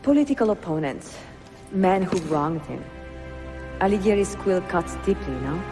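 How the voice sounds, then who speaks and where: An actress playing a cultured, gracious and gently playful Italian woman in a video game, with an Italian accent. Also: mid-range voice, big pitch swings, normal speed. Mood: gracious, conversational. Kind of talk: cinematic video game acting. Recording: music behind the dialogue.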